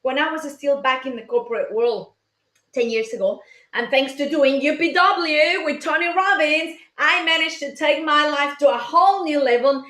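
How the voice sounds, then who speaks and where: A woman's voice: sits high, normal speed, pushed and bright. A woman in her forties from Mexico with a Mexican accent, giving an enthusiastic, chatty motivational talk on a live stream and greeting viewers. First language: Spanish. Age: 40s. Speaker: life coach hosting a live session. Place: colorful home office. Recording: echoey room.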